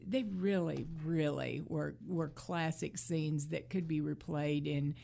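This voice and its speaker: Woman podcast co-host, lowish creaky voice, chatty, kinda stops and restarts her phrases. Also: steady tone, takes it slowly, warm tone. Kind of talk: casual chat about classic TV.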